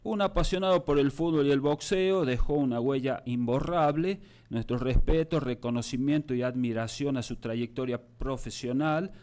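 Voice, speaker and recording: A man hosting a radio show, announcing a death in a formal, solemn and measured way. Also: medium pitch, a little mellow. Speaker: radio host.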